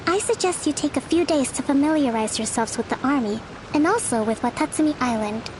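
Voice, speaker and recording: An actress playing a composed, courteous female leader in a video game, giving orders in a measured, formal manner. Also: high-pitched, background noise, close to an everyday voice. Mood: composed, instructive. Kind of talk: video game character acting.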